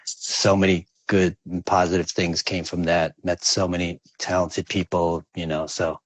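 A man's voice: low-pitched, level tone, a little slow. A man from the Philippines, raised in New York, talks as a guest in a casual interview in a humble, laid-back way. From born in the Philippines, raised in New York. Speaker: guest, recording engineer.

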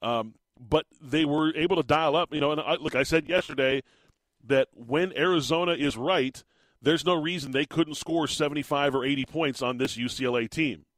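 Slightly raspy sports radio host. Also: male voice, on the high side, moderate pace, a bit bright, no room sound, poor audio. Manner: chatty, rambling with fillers and restarts. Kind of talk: morning sports talk radio.

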